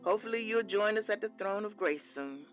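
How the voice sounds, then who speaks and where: An actress playing a devout mother who softly counsels her son, calling him baby. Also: mid-range voice, lively intonation, a little fast, lo-fi sound, faint music behind, lightly acted. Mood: gentle. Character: devout church mother, older woman, gently firm. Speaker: mother of the lead. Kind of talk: audio drama acting.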